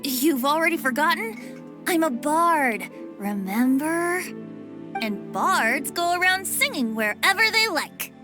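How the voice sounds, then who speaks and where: A voice actor playing a playful young male bard in a game, lilting, giggly and flattering. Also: very high voice, takes it slowly, full character voice. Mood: cheerful. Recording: game cutscene with music behind.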